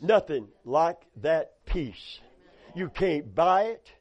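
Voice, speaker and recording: A hoarse preacher, his voice pitched a little high for a man. He tells folksy stories from the pulpit and breaks in with amen and hallelujah. The recording sounds dull and lo-fi. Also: very animated, takes it slowly, a little mellow. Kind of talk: sermon.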